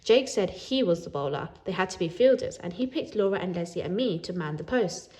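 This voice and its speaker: Expressive woman reading a children's story. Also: on the low side, a little fast. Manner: animated, acts out dialogue. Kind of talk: expressive read-aloud of a children's book.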